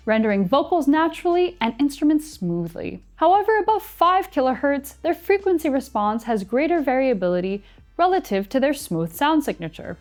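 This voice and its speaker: A young adult woman narrating a scripted product review as its presenter, clearly enunciated with dry, playful touches. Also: high voice, a little mellow. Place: small indoor studio set. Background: music.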